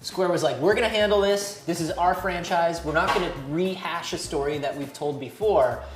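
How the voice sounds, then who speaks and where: A woman's voice: lowish voice, moderate pace. A woman chatting casually as a panelist, giving opinions in a blunt, emphatic way. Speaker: panelist on a video game talk show. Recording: roomy sound with music behind.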